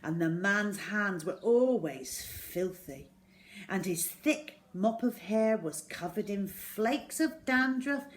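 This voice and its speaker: A woman reading a children's story aloud in an expressive way, acting out the words as she goes. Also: mid-range voice, slow. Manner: expressive, acts out dialogue and sound words.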